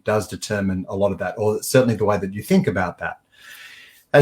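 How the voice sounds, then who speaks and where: Guy hosting a podcast, earnest, middle pitch, a few ums. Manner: earnest, with filler pauses. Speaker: podcast host. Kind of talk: scripted guest introduction.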